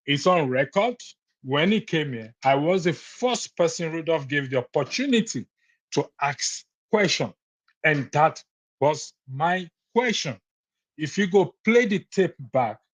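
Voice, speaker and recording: A man's voice: on the high side, animated, unhurried. A Nigerian man on a call-in broadcast, firmly insisting that his point is on record. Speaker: caller on a live broadcast. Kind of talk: heated call-in debate.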